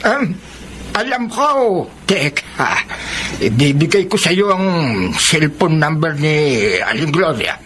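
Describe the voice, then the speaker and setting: A man's voice: on the high side, sing-song, slow, fairly bright tone, a little hiss or hum, full character voice. An actor with a Filipino accent playing a helpful older male neighbour in a radio drama, drawing out his talk with little interjections. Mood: helpful, folksy.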